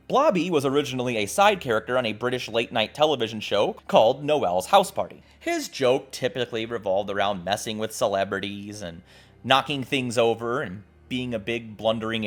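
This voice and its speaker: A man hosting a video essay, narrating from a script with casual, profane asides in an irreverent, jokey, emphatic way. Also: medium pitch. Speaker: host of a video essay show. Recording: music playing behind the voice. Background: background music.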